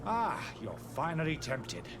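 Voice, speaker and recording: A man playing a pompous, theatrical charlatan in a video game, selling potions with smooth, grandiose showman's patter. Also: on the high side, huge pitch swings, unhurried, music in the background, heavily acted. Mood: smooth, courteous.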